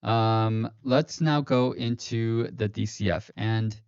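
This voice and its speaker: Guy rambling through a finance stream, lowish voice, muffled lo-fi mic, kinda robotic. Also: steady tone, slow, darkish tone, clear, resonant tone, dry recording. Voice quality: slightly robotic-sounding stream voice. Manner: rambling, self-correcting, many fillers. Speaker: finance livestream host. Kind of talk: casual livestream walkthrough. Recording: dull lo-fi stream audio, dry.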